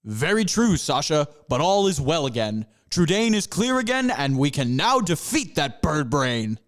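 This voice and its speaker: A heroic panther scientist, played by a man, who lectures his students with proud good cheer. His voice rises and falls in a lively way and sits a little high. It fits an amateur comedy audio drama. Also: measured pace. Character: cheerful male panther mascot scientist, a hero.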